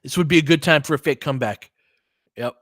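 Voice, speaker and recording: A man's voice: fairly high pitch, quick pace, fairly bright tone, no room sound, studio quality. A man doing casual live basketball commentary, switching between clipped play calls and conversational analysis of the game.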